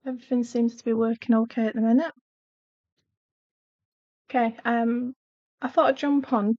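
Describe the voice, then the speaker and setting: A woman in middle age, her voice congested from a coming cold and a sore throat. She talks in a fairly high, level tone, drifting off mid-sentence as she focuses on her work. The sound is dry and a little dull, like a home live-stream mic. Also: steady tone, normal speed, dry recording. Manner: chatty and apologetic, trailing off as she works. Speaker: art channel host. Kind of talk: casual live-stream chat while swatching.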